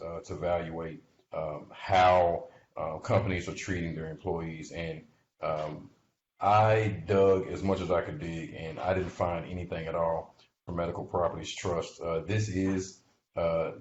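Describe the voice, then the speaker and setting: A man giving informal explanatory investment commentary in a halting, conversational way, often checking in with the listener. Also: low-pitched, steady tone. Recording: muffled, noisy audio in echoey room.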